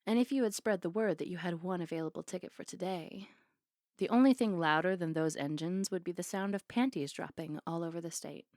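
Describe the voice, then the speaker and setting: A woman's voice: lowish voice, fairly quick, a little mellow, no room sound, clean studio sound, close to an everyday voice. A woman playing a flirty, playful, affectionate barista on a date in an intimate, teasing ASMR roleplay. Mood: warm, sincere.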